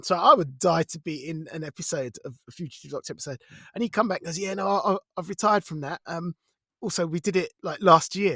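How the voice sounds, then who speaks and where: A man co-hosting a casual podcast, telling things in an animated, rambling, colloquial way. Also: high-pitched, breathy edge, dry recording. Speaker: podcast co-host, also audio drama performer.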